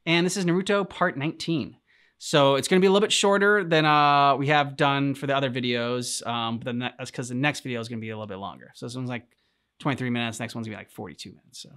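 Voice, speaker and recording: A man with a middling pitch chats casually. He is a reaction video co-host talking informally to viewers. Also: fairly even intonation, fast talker, a bit bright, clear, resonant tone. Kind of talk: casual chat.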